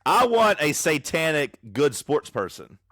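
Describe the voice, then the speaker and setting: A man co-hosting a podcast, loud and teasing as he banters over a remote recording. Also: fairly high pitch, sing-song, measured pace, no echo. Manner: loud, teasing, jumps in with bits. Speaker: podcast co-host. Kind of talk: casual banter. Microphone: own microphone, recorded remotely in an app.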